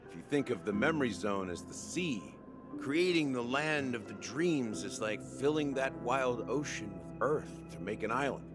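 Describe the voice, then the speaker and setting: A man voicing a grave, lively-toned schemer. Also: mid-range voice, close to an everyday voice. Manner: measured, solemn explaining. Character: grave schemer revealing a dreamland's dark secret. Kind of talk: video game cutscene dialogue. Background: game music.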